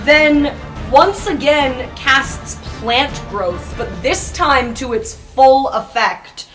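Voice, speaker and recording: Female game player, fairly high voice, casual improvised narration over a music bed. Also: extremely slow.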